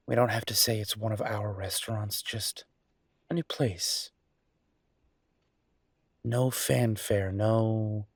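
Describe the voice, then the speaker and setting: A man playing an earnest, down-to-earth chef boyfriend in an audio roleplay, talking casually and a little hesitantly in a husky voice. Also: lowish voice, no room sound, studio quality, exaggerated voice. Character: enthusiastic down-to-earth chef boyfriend opening a mountain restaurant. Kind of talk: audio roleplay acting, casual one-sided conversation.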